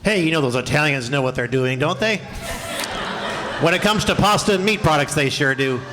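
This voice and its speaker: Male event announcer, informal and jokey, high pitch, reverberant live hall. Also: brisk. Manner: folksy, jokey, loose. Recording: echoey hall, background noise.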